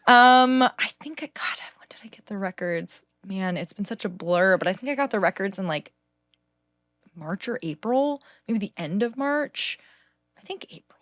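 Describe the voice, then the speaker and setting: A woman from California with a low alto voice, chatting casually as a guest and laughing often. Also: lively intonation, normal speed, fairly bright tone, no room sound, clean studio sound. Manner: chatty, many "like"s and frequent laughs. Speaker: singer-songwriter guest. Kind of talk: casual interview chat.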